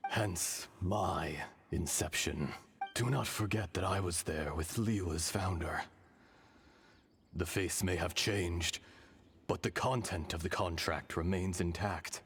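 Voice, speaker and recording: An actor playing an ancient, weary stone dragon spirit in a game, speaking slowly and gravely in formal speech. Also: male voice, low voice. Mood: solemn. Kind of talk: game voice acting. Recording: game audio with music behind.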